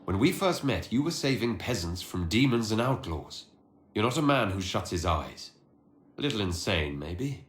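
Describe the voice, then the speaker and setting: An actor playing a commanding, composed hero in a video game, speaking directly and probingly. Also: male voice, fairly low pitch, sing-song, normal speed. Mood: frank. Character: commanding, direct male hero leading an organisation. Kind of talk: dramatic video game dialogue. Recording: echoey space, faint background noise.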